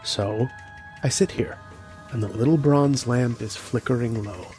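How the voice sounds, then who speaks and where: An actor playing a haunted, fearful scientist who narrates his ordeal in a dramatic, halting horror radio monologue. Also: medium pitch, a little mellow, faint music behind, close to an everyday voice. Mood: fearful resignation. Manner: dramatic, halting, repeating phrases.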